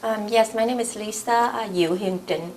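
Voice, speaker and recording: A woman's voice: on the high side, normal speed, a little mellow, a little hiss or hum. A woman in her late forties from South Vietnam, a native Vietnamese speaker with a Vietnamese accent, recounting personal memories in an interview. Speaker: oral history interviewee.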